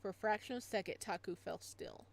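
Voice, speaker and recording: A woman's voice: medium pitch, fast talker, gravelly. A woman doing a casual let's play, reading story text aloud with side comments and sometimes stumbling over words. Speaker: gamer reading a visual novel aloud.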